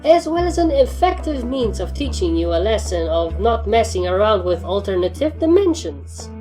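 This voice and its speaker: Woman playing young pony trainer, demanding, formal, firm, storybook character, mellow timbre, music underneath. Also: mid-range voice, sing-song, moderate pace, voice pushed up, natural voice. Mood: calm, dry.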